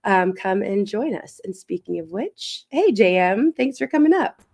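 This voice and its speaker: Hoarse, raspy woman hosting a stream. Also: medium pitch, expressive, moderate pace, darkish tone. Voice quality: raspy and a little wheezy. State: hoarse, recovering from illness. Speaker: livestream host. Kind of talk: casual welcome chat on a livestream.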